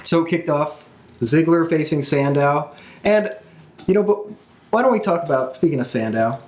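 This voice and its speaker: Man, fairly high voice, podcast host, casual enthusiastic recap, echoing room. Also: moderate pace. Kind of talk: casual chat recapping events. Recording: roomy, echoing room sound.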